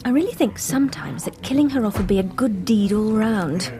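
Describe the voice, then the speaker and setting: An actress playing a friendly young hairdresser's assistant in a radio drama, chatty and polite, with an English accent. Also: female voice, mid-range voice, animated, fairly quick, a crowd in the background, lightly acted. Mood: friendly, playful. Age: young adult.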